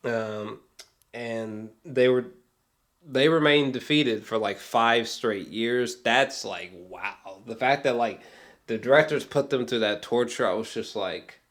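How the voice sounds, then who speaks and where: A man's voice: mid-range voice, takes it slowly, a bit bright. A man giving a casual, rambling film review with geeky, awed enthusiasm, often hesitating, trailing off and swearing casually.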